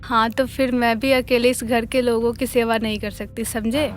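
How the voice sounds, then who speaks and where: A woman voicing a defiant, sharp-tongued young daughter-in-law in a cartoon family drama, arguing and demanding. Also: on the high side, little pitch movement, moderate pace, soft background music, lightly acted. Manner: argumentative, demanding.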